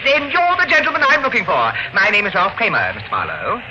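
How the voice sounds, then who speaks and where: High-voiced prying gentleman, old radio. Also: huge pitch swings, quick pace. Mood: solicitous. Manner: courteous, probing. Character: polite, inquisitive male ship passenger. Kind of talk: old-time radio drama acting. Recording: muffled, noisy old radio recording.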